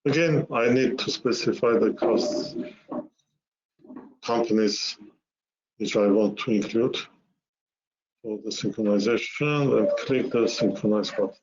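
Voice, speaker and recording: A man narrating a software demo webinar, explaining step by step in a halting way with repeated words. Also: fairly low pitch. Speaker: software presenter. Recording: muffled lo-fi webinar audio.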